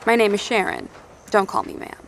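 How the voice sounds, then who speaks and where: An actress playing a no-nonsense woman who runs a survivor outpost in an audio drama. She speaks bluntly and plainly at a middle pitch, with a folksy directness. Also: fairly quick, vocal fry, slight background noise, natural voice. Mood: firm, curt.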